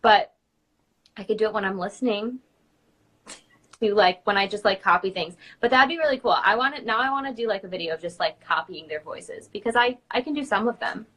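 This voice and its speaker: Chatty young woman hosting, mid pitch. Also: a little fast, a bit bright, poor audio. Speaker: host, princess performer. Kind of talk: casual live chat.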